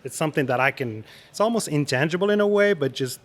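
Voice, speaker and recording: A man's voice: on the high side, expressive, fast talker. A man from Morocco, a restaurant director, chatting earnestly on a podcast with many fillers and restarts. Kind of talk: casual podcast chat.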